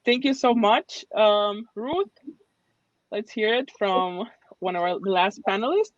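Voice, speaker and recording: A woman's voice: medium pitch, a little slow, no echo. A woman moderating an online panel, giving friendly, brief transitions between speakers. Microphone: video call. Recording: dull lo-fi call audio.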